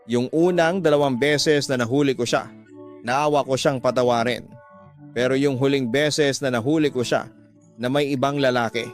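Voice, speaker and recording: A man's voice: fairly high pitch, natural voice. A man playing a betrayed husband in a radio drama, hurt and earnest as he emphatically pleads his side. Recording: music bed behind the voice.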